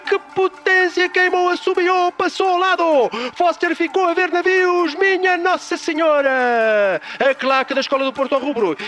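Man acting a high-pitched, excitable football commentator. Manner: repeats phrases, building excitement. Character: excitable male football commentator calling a cup final. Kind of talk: breathless live radio sports commentary parody. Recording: loud backing sound behind the voice.

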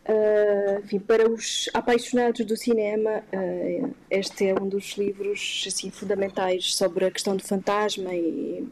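A woman's voice: medium pitch, level tone, measured pace, darkish tone, rough recording. A woman whose first language is not Portuguese, a guest on a podcast recommending books, hesitating with many fillers and small laughs.

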